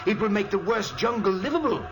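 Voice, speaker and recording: An actor playing an obsessed scientist who believes insects hate him, in a 1940s radio drama. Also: male voice, high-pitched, animated, fairly quick. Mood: eager. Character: obsessed male scientist, paranoid about insects. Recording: old radio drama with music.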